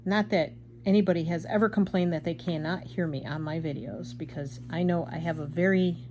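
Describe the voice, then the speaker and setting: Older woman, craft vlogger, loud and rambling, lower pitch, faint room noise. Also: fairly even intonation, fairly quick. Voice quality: loud, projecting voice. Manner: chatty, rambling, self-deprecating. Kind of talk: casual chat while embroidering.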